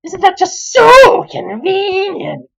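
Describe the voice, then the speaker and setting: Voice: extremely high pitch, wildly swooping pitch, slow, gravelly, fairly bright tone, faint music behind, pitched above the performer's own voice, exaggerated voice. A reviewer voicing a smug young husband and father who narrates his own story in a mock-earnest, self-congratulatory way. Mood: pompous, gushing.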